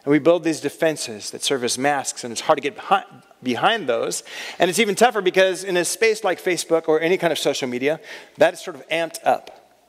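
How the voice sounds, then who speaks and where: A man in his thirties speaks at a college chapel in a slightly high voice. He talks in a relaxed, conversational way and pokes fun at himself. A long hall reverb trails behind each phrase. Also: brisk. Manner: conversational, self-deprecating humor. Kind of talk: chapel talk.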